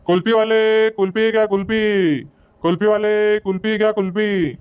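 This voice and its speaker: A man's voice: sits high, fairly even intonation, moderate pace, clear, resonant tone, natural voice. A man voicing a cartoon village ice-cream vendor with repetitive street-seller patter, in dull lo-fi sound. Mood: businesslike. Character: village kulfi vendor calling out wares. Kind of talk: cartoon comedy dubbing.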